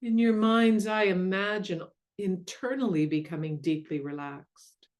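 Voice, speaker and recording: A woman leading a guided meditation in a gentle, soothing, unhurried way with long pauses. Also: medium pitch. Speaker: meditation guide and mindfulness coach.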